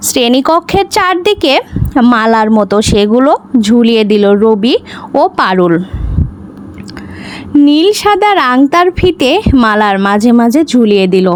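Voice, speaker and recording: A woman storyteller with a high-pitched voice. Her intonation moves widely as she reads a scripted children's tale in short, separated phrases. Some background noise sits behind the voice. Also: sing-song, a little slow, long pauses. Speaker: storyteller, channel host.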